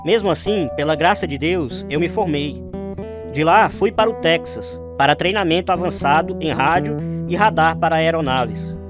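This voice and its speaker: A man's voice: on the high side, normal speed, over music, natural voice. An actor playing an earnest, devout young Navy man telling his life story in a radio drama. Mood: reflective. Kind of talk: radio drama narration with acted dialogue.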